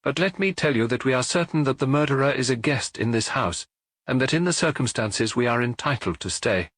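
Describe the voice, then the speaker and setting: Narrator doing a male inspector, formal, probing, polite but firm, higher pitch, lively intonation, detective audiobook. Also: fairly quick, no room sound. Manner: polite but insistent, official questioning. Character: formal, persistent male police inspector questioning witnesses.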